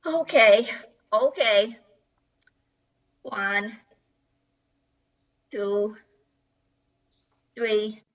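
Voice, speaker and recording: A woman's voice: medium pitch, extremely slow, long pauses. A woman playing a weary, whiny eight-year-old little sister in an amateur radio play. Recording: dull, muffled lo-fi sound.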